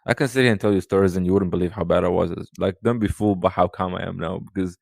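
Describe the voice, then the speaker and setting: A man's voice: deep voice, steady tone, very fast, vocal fry, darkish tone, no room sound, studio quality. A man in his thirties from Sudan, a podcast guest, telling childhood stories in a rambling casual way with lots of "you know.". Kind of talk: casual storytelling conversation.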